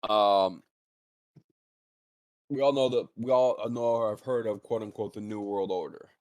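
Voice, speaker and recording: A man's voice: lowish voice, steady tone, unhurried, darkish tone, dry recording. A man co-hosting a livestream, chatting casually about conspiracies in a rambling way and swearing often. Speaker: livestream co-host.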